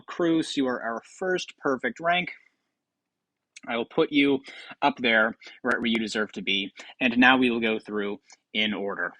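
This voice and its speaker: A man's voice: mid-range voice, noisy background. A man hosting a gaming video with casual commentary and tongue-in-cheek, mock-grandiose announcements.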